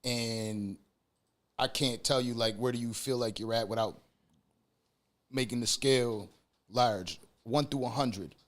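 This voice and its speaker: A man's voice: mid-range voice, measured pace, pauses often. A man hosting a casual interview, talking in an appreciative, informal way and circling hesitantly toward his questions. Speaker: podcast host.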